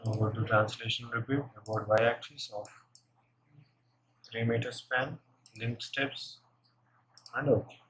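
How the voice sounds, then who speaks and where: A man narrating a step-by-step software tutorial, unscripted and halting as he thinks aloud while working. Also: mid-range voice, steady tone. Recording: noisy room, keyboard and mouse clicks.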